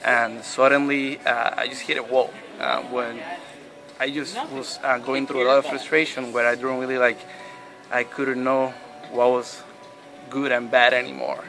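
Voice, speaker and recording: A man in his thirties from Puerto Rico, telling his faith story in an interview with many pauses and restarts. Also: medium pitch, little pitch movement, vocal fry, pushed and bright, faint music behind. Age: 30s. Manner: hesitant, many restarts and fillers. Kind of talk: personal testimony interview.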